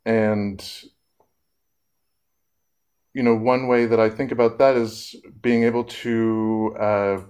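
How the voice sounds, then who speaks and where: A man speaking as a therapist in an earnest, measured public talk, pausing often and using fillers. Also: on the low side, level tone, a little mellow.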